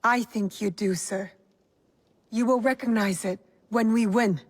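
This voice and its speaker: An actress playing a loyal, composed shinobi in a video game, speaking in a calm, understated, assured way. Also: female voice, medium pitch, measured pace. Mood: focused, reassuring. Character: loyal, composed female shinobi, quietly determined. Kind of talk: dramatic video game acting.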